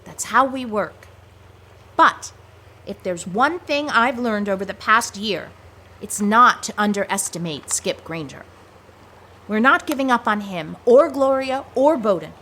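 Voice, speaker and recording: Stern female agency boss, forceful bright voice, precise, faint background noise, audio drama. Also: medium pitch, expressive, unhurried. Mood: firm, resolute. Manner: formal, commanding, precise diction. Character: stern, resolute, protective woman spy section chief.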